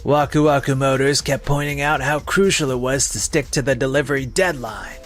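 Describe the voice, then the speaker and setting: A man's voice: medium pitch, fairly even intonation, normal speed, soft background music, close to an everyday voice. A man playing an evasive, excuse-making salesman in a scripted manga dub. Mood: defensive. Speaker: sales representative character.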